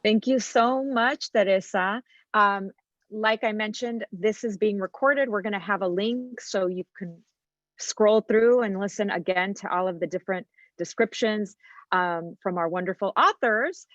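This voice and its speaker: A woman's voice: medium pitch, a little slow, no echo. A woman hosting a book fair on a video call, introducing the next speaker. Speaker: event host. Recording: dull dry video-call audio.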